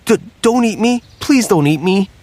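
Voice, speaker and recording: A man's voice: high-pitched, animated, moderate pace, a little mellow, voice pushed up. A man voicing a timid, jittery wild turkey in a storybook tale, stammering and pleading.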